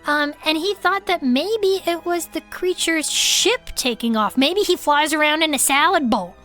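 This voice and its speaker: Woman playing quirky earnest cryptid fan, very high voice, silly baby-talk wording, comic podcast chat, faint music behind. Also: a little slow, a bit bright. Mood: quirky, musing. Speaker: podcast co-host.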